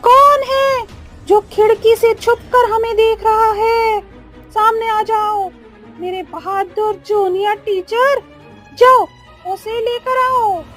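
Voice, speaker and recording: Shrill, very high-pitched vengeful witch teacher. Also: fairly even intonation, slow, a bit bright, soft background music, full character voice. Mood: menacing, commanding. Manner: menacing, scolding, commanding. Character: vengeful witch ghost of a strict schoolteacher. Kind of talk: horror cartoon story acting.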